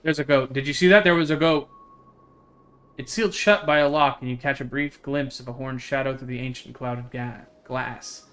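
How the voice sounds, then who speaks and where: A man's voice: medium pitch, fairly even intonation, moderate pace, a bit bright. A man livestreaming a game, chatting casually in a jokey, slangy way and reading its text aloud. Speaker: game streamer.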